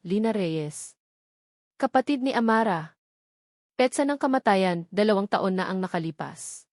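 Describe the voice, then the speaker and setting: A woman's voice: mid-range voice, darkish tone, no room sound, clean studio sound. A woman with a Filipino accent narrating a dramatic love story from a script.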